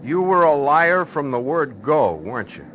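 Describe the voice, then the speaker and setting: Defiant, higher-voiced man in trouble. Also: sing-song, moderate pace. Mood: bitter, subdued. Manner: terse, slangy, stubborn. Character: tense, defiant man held at gunpoint, wry narrator. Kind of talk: 1950s radio thriller acting. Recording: dull, noisy 1950s radio recording.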